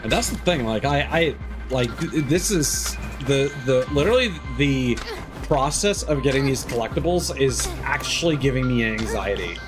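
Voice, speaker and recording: A man's voice: mid-range voice, animated, normal speed, music in the background. A man giving live gameplay commentary, thinking aloud and correcting himself in an exasperated, complaining way. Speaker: game streamer.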